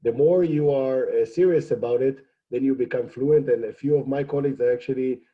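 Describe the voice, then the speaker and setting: A man whose first language is Hebrew, speaking in a measured, hesitant way with many fillers on a panel. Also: mid-range voice, narrow, tinny audio. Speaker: program alumnus panelist. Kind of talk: panel discussion.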